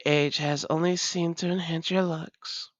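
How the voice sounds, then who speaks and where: A woman playing a wry, doting female vampire lover in an intimate audio roleplay, speaking in a measured, formal way with teasing endearments. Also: lowish voice, steady tone, no echo, lo-fi sound, natural voice. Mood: flustered, tender.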